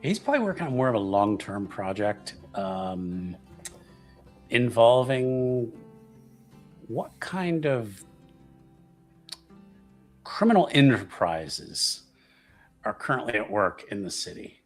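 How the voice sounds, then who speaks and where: A man's voice: medium pitch, big pitch swings, slow, pauses often, soft background music. A man playing a tabletop roleplaying game, casually describing his character's plans as he thinks aloud.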